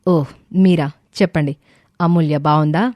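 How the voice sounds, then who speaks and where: A woman's voice: sits low, steady tone, moderate pace, a little mellow, no echo, close to an everyday voice. A woman voicing a female doctor in a story, evasive and uneasy, with a hesitant, deflecting manner. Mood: polite.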